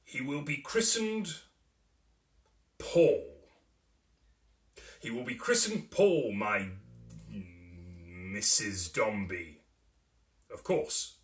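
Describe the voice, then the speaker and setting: A man voicing a stern, pompous middle-aged businessman and proud father in a dramatic reading of a Victorian novel, speaking slowly and grandly. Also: fairly low pitch, expressive, reverberant, recorded from a distance. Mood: proud, emphatic. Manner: pompous, grandiose, slow and declamatory.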